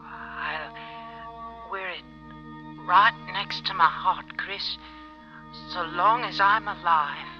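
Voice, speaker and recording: A man's voice: high voice, drawn-out words, pauses often, faint music behind. An actor playing a devoted, earnest young army boy in an old radio drama. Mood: tender devotion. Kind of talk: 1940s radio drama acting. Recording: dull old radio recording.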